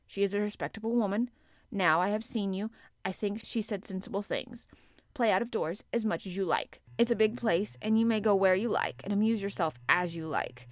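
A woman voicing a miserable middle-aged gentleman in an audiobook, with a worried, absent-minded and fretful manner. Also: medium pitch, fairly quick, no room sound, close to an everyday voice. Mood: distracted, kindly. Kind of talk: audiobook character acting.

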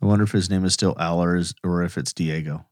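A man's voice: low-pitched, fairly even intonation, quick pace, darkish tone, no room sound, clean studio sound. A man co-hosting a true crime podcast, talking casually and conversationally. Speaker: podcast co-host.

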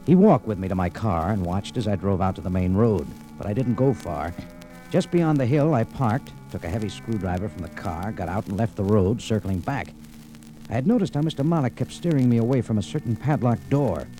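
A man plays a hard-boiled American adventurer of vintage radio drama. His voice is fairly low and mellow, with big swings of pitch under the clipped, wry delivery. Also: animated, fairly quick, natural voice, poor audio. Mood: tense narration. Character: tough, wry, unflappable American adventurer telling his tale. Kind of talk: old-time radio detective drama, first-person narration and dialogue. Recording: vintage radio recording, faint music.

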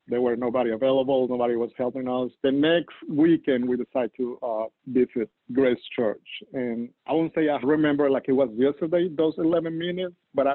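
A man's voice: mid-range voice, no echo. Colombian-accented man on a tinny line. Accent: Colombian Spanish. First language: Spanish. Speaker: church campus leader. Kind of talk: podcast guest interview. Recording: dry tinny phone-like line.

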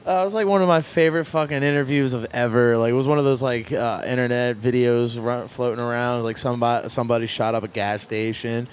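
A man's voice: medium pitch, fairly even intonation, fast talker, few pauses, a little hiss or hum. A young man in casual podcast chat, joking and profane as he tells stories.

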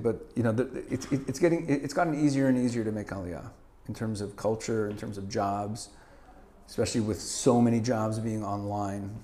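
A middle-aged man from Canada, a guest in a casual interview, talking in an animated way with lots of stammered restarts and easy laughter. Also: mid-range voice.

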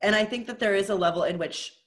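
A woman co-hosting a podcast, talking in a chatty, animated way and laughing often. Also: medium pitch, fast. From from an Indian family. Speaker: podcast co-host. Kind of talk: casual chat.

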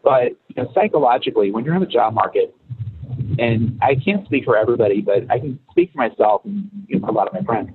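Husky man on a tinny, narrow-band line. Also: medium pitch, animated, fast. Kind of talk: reflective conversational opinion. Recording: muffled, phone-like audio.

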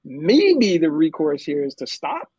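A husky man with a slightly high voice talks in a relaxed, rambling way, full of fillers. The sound is dry and a little dull, like a lo-fi recording. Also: unhurried, no room sound. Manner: loose, filler-heavy, acts out voices with bursts of laughter. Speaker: comic book writer, guest. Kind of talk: casual conversational interview.

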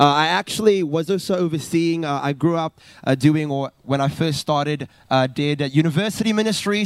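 Pastor preaching, dry mic. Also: male voice, fairly high pitch, clear, resonant tone, dry recording. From South Africa. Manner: earnest, many filler uh's and restarts. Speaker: youth pastor. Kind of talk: sermon. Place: church stage, live service.

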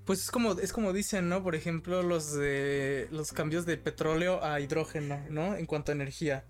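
High-voiced Mexican man rambling. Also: moderate pace. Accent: Mexican Spanish. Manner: rambling, keeps adding tag questions. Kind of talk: casual podcast chat.

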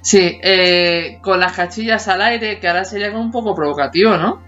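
A woman's voice: medium pitch, brisk, a bit bright. Woman in her 40s chatting on a podcast, giggly, dull lo-fi sound with faint music under it. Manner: laughs often, hums snippets of tunes. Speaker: podcast co-host. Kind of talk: casual chat about childhood TV songs.

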